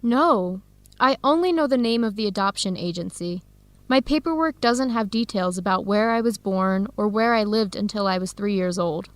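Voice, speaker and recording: An actress playing an eager, earnest young Ethiopian-American student researcher in a radio drama for English learners, speaking clearly and in measured phrases. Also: female voice, medium pitch, animated, no echo, lightly acted. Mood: grateful, sincere. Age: young adult.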